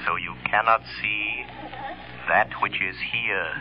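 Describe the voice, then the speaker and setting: An actor playing a mysterious invisible avenger in an old radio drama, calm, deliberate and menacing. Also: male voice, on the low side, soft background music. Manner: slow, deliberate, commanding. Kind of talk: 1940s radio acting. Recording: muffled old-time radio recording.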